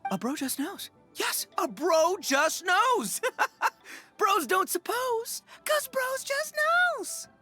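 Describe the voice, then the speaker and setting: Goofy boastful gang boss for a game, bombastic, bright n forceful, pitch all over the place. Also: male voice, sing-song, drawn-out words, faint music behind, full character voice. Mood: giddy. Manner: bombastic, slangy, theatrical. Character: brash, boastful young male gang boss, big-hearted goofball. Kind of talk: animated video game dialogue.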